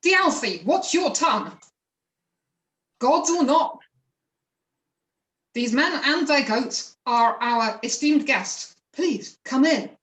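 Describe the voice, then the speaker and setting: A humble, puzzled farmer read by a woman in a high voice, polite and a little hesitant. The room rings with echo around this amateur myth play. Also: animated, slow, long pauses, a bit bright, full character voice. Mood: polite, hospitable. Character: middle-aged male peasant farmer, humble, puzzled host. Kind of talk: amateur dramatic play reading.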